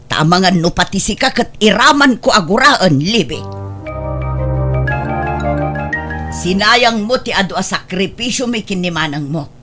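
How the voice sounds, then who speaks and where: A woman's voice: medium pitch, a bit bright, close to an everyday voice. A woman playing a stern, reproachful mother in an audio drama, with an Ilocano accent. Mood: angry, scolding. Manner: scolding, reproachful. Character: stern, ashamed mother rejecting her pregnant daughter.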